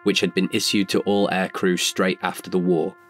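A man's voice: low voice, flat delivery, normal speed, faint music behind, lightly acted. A man delivering scripted, documentary-like narration in an audio drama.

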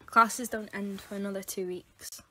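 A woman's voice: medium pitch, steady tone, moderate pace, noisy background, lightly acted. A young woman playing an anxious, insistent university student in a fan audio drama, urgent and questioning. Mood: worried, insistent. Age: young adult.

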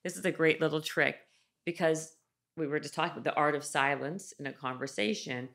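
A woman in her forties from Canada hosting a podcast interview, animated and chatty, restarting sentences with lots of "like", recorded on a boom mic in a studio room. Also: a little fast, vocal fry. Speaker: podcast host. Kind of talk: casual interview chat. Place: studio room with wood-panel wall. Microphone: desk microphone on boom arm.

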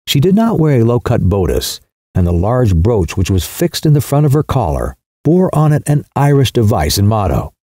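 Mellow, expressive male audiobook narrator. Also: medium pitch, no echo, clean studio sound. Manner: measured storytelling. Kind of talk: scripted literary narration.

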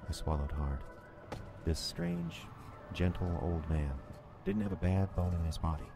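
A man's voice: sits low, steady tone, measured pace, over music. An actor playing an uneasy working man who narrates his own snowbound horror story in a dramatic, brooding way. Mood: hushed unease. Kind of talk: first-person radio horror narration. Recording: noisy storm ambience under the voice.

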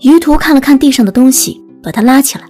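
Woman, audiobook narrator, high voice, scripted storytelling, music in the background. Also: fast talker, darkish tone.

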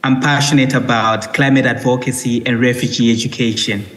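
A young man from Burundi who grew up in Zimbabwe, giving an earnest, steady conference presentation over a headset on a video call, with frequent "uh" fillers. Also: mid-range voice, brisk, big-room sound. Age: young adult. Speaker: panelist. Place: indoor room, remote from Italy.

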